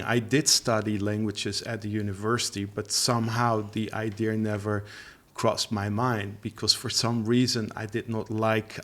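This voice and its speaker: A middle-aged man from the Netherlands with a Dutch accent, a language teacher telling his story in an interview, chatty with frequent laughs and fillers. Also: fairly low pitch. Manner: laughs often, many fillers and restarts, chatty. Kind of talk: interview guest, casual storytelling.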